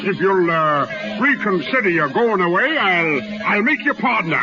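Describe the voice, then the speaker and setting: An excitable carnival colonel in an old radio play. His high voice is urgent and effusive, repeating itself in flattery. Clear noise runs through the muffled 1930s recording. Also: moderate pace. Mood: effusive, flattering.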